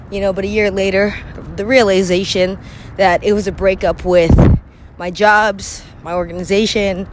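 A young woman talks through her solo podcast with a scratchy voice from a cold. She is chatty and candid, clearing her throat now and then. Faint traffic noise sits behind her. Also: mid-range voice. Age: young adult. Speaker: podcast host. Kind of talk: casual solo podcast monologue.

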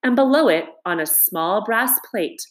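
Animated woman reading a storybook. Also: medium pitch, unhurried. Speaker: storybook reader. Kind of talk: scripted storybook narration.

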